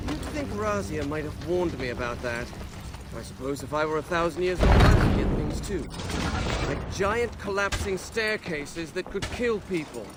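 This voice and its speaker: A man's voice: fairly high pitch, lively intonation, moderate pace, heavy background noise, close to an everyday voice. An actor playing a young adventurous video game prince, dryly sardonic as he talks. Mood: exasperated, sarcastic. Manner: dry, sardonic muttering to himself. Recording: loud game music over the voice.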